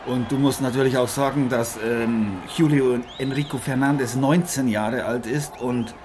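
A man's voice: mid-range voice, steady tone, moderate pace, natural voice. A man playing a chummy, slightly grandiose celebrity co-commentator in an improvised boxing audio drama. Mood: friendly, engaged. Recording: noisy arena ambience behind voice.

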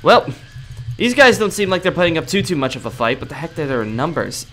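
A man's voice: a little high, sing-song, brisk, a bit bright, soft background music. A man playing a cocky, exasperated young anime fighter in a video game roleplay, grumbling to himself in slang. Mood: cocky, combative.

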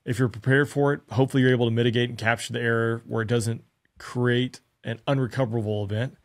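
A man's voice: mid-range voice, fairly even intonation, quick pace. A man in his thirties, a former fighter pilot, giving pilots earnest conversational advice to camera, often asking himself rhetorical questions. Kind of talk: direct-to-camera instructional talk. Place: small dark studio room. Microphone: studio mic on stand in front of him.

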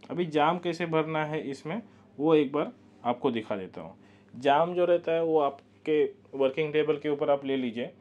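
A man's voice: a little high, a little mellow, lo-fi sound. A man teaching a step-by-step baking demonstration in a commercial bakery kitchen, patiently repeating the key warnings.

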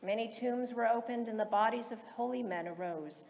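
A woman's voice: a little high, normal speed, creaky. Woman reciting liturgy in echoing hall. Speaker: liturgical reader in church. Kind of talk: solemn chanted recitation of psalms and hymns. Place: large reverberant interior. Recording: echoey reverberant hall, loud background noise.

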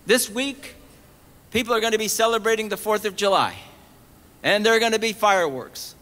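A man's voice: high-pitched, bright, forceful tone. Impassioned man preaching at an outdoor rally. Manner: emphatic, rising on repeated rhetorical questions. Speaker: preacher giving a rally sermon. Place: outdoor colonnade of a city hall at a rally. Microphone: podium mic on a stand. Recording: long echo off stone colonnade.

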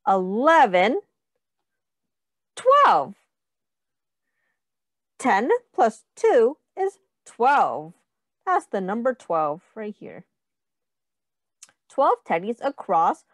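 A woman with a high, lively voice reads to small children as their teacher. She takes it very slowly and deliberately, posing questions and counting along. The sound is close and dry. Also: dry recording. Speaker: teacher reading aloud to young children. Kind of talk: children's picture-book read-aloud and counting lesson.

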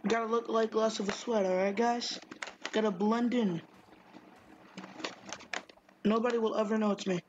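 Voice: medium pitch, fairly even intonation, moderate pace, pauses often, a little mellow, lo-fi sound, a little hiss or hum. A gaming streamer chatting casually and jokingly while playing, now and then singing a few words. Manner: playful, jokey, sings snatches. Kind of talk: casual game commentary.

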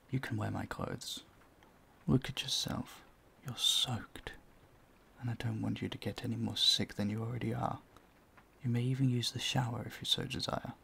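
A man playing a courteous, charming vampire in an intimate audio roleplay, soft-spoken, breathy and soothing, often close to a whisper. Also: fairly low pitch, heavily acted. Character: gentle, courteous male vampire, charming and doting. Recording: faint ambient noise behind the voice.

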